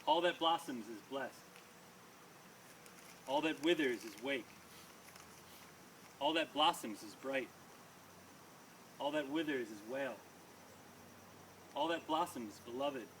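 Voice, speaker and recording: Bright, forceful man reading poetry live. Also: medium pitch, slow pace, long pauses. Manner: emphatic, rhythmic delivery. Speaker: poet reading own poems to an audience. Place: small live reading before an audience. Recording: distant mic, noisy live room.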